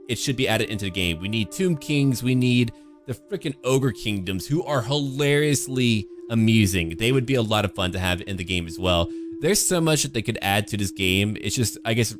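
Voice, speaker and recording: A man giving casual, speculative video game commentary in a conversational, enthusiastic way. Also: lowish voice. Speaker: gaming video creator, commentator. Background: background music.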